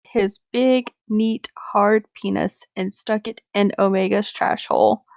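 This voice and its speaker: A woman's voice: medium pitch, steady tone, takes it slowly, vocal fry, darkish tone, no room sound. A woman co-hosting, blunt and opinionated, chatting casually and reading aloud. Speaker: co-host of a fan fiction reading show.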